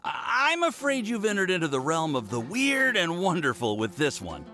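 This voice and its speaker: A man's voice: high-pitched, wildly swooping pitch, normal speed, sharp tone, soft background music, heavily acted. A man voicing a hesitant, awkward mild-mannered male alchemist in a game cutscene. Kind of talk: video game cutscene dialogue.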